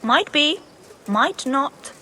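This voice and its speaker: A woman's voice: high voice, animated, drawn-out words, pushed and bright, slight background noise, heavily acted. An actress playing a stubborn, downtrodden beggar woman in a medieval video game, plaintive and evasive. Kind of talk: video game cutscene acting.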